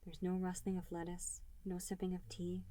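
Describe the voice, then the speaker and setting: A woman reading scripted fan fiction as an expressive narrator. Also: on the low side, moderate pace, no room sound. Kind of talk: expressive scripted story narration with character dialogue.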